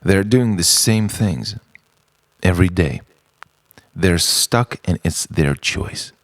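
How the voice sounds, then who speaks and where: A man performing a theatrical, stream-of-consciousness spoken-word monologue in a brooding, eccentric, gravelly manner. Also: low voice, expressive, slow, no room sound. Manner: dramatic, brooding, swinging between murmurs and eccentric outbursts. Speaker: writer-performer of a personal monologue.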